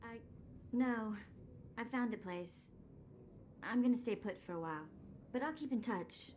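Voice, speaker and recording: An actress playing a warm, independent young woman among old friends in a video game. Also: on the high side, expressive, measured pace. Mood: fond, resolved. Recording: game audio with faint background noise.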